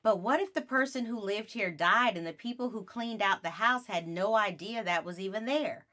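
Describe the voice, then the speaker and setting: A woman's voice: mid-range voice, moderate pace, bright, forceful tone, lightly acted. Woman reading as a teasing, skeptical boy. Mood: spooky. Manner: taunting, contrary. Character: teasing boy, skeptical, spooky-minded sibling.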